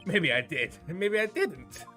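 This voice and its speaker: A man's voice: sits high, lively intonation, measured pace, sharp tone, faint music behind, full character voice. A man voicing a laid-back, evasive young male defendant with slack, slangy delivery in a visual novel game. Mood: defensive.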